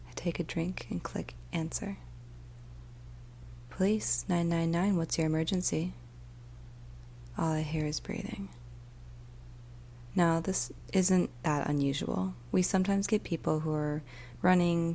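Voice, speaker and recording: Woman playing a troubled 999 dispatcher, soft whispery asmr storytelling, lowish and creaky, bit of background hum. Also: fairly even intonation. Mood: calm, reassuring, then hushed, tense. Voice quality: soft, breathy, often whispered. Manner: slow, hushed, deliberate, with pauses. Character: weary, troubled female police emergency call dispatcher. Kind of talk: ASMR storytelling, first-person dramatic monologue.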